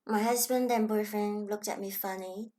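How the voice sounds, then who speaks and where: A woman from Hong Kong with a Chinese accent, talking gently and thoughtfully as host of her own channel, sometimes dropping into a soft whisper. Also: medium pitch, steady tone, normal speed. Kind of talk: reflective personal talk.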